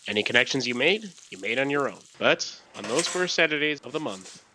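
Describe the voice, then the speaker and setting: A man's voice: medium pitch, lively intonation, brisk, noisy background. A man from Los Angeles narrating his own documentary in a candid, casual way, with loose asides. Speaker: documentary director and interviewer. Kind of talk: reflective voice-over narration.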